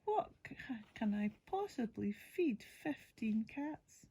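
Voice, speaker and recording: A kindly storybook cat lady, played by a woman reading aloud, very breathy and affectionate. She speaks in a welcoming sing-song, with pitch that leaps about and a leisurely pace. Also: very animated, slow pace, warm tone, no echo, exaggerated voice. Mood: pondering. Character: kindly, doting woman who lives with many cats. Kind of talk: bedtime storybook character reading.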